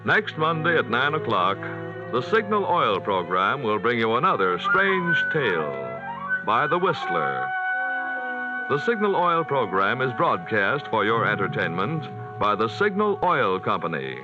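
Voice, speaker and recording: A man's voice: mid-range voice, sing-song, unhurried, music in the background. A man speaking as a 1940s radio announcer, with a polished, emphatic salesman's delivery for the sponsor. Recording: dull vintage 1940s radio broadcast.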